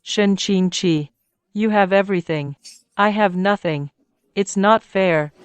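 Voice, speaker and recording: A woman's voice: medium pitch, slow, clean tone, dry recording, close to an everyday voice. A woman dubbing a desperate young woman who is bitter and pleading in a drama. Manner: pleading, bitter and self-pitying. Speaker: scheming woman faking a pregnancy. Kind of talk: dubbed short drama acting.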